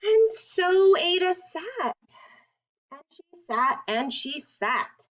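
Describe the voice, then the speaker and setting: A woman reading a children's picture book aloud in an expressive, deliberate way with dramatic emphasis. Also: very high-pitched. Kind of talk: read-aloud children's storytelling.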